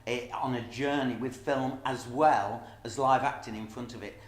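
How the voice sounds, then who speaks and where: A man's voice: mid-range voice, steady tone, moderate pace. A middle-aged man telling stories emphatically in a casual interview about his stage work. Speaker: pantomime dame performer. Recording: echoey room.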